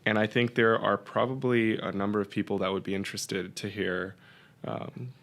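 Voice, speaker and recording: A man's voice: fairly low pitch, little pitch movement, moderate pace, creaky, fairly bright tone. A man hosting a podcast, asking his guest questions in an easygoing way. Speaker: podcast host. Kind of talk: interview questions.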